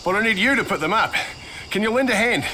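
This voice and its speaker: A harried Australian camp defender in a zombie video game. His voice sits slightly high, blunt and colloquial, with audible ambience behind it. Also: lively intonation, fast. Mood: relieved, harried. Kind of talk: video game cutscene acting. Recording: game audio with clear ambient noise.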